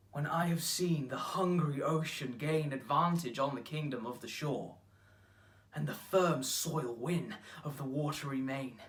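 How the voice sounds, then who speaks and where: An actor playing a grieving, brooding lover who recites Elizabethan verse in a slow, weighty, declamatory way. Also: medium pitch, fairly bright tone, roomy sound, lightly acted. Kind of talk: theatrical monologue acting. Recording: distant microphone.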